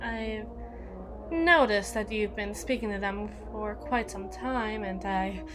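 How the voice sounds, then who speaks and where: A woman playing a jealous, menacing evil queen in an ASMR roleplay, regal and possessive, with theatrical, deliberate formal diction. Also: medium pitch, music in the background, close to an everyday voice. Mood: icy politeness.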